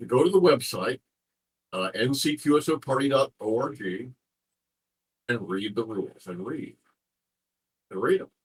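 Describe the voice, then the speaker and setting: Man, husky voice, mid pitch, informal interview guest, rambling explanations, repeated phrases. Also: rough recording. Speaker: interview guest, ham radio contest organizer.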